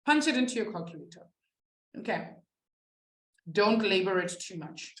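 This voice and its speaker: A woman's voice: mid-range voice, animated, normal speed, reverberant. A young woman who is not a native English speaker, teaching a live online maths class from a webcam and chatting with students. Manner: chatty, reads out student chat, laughs at herself.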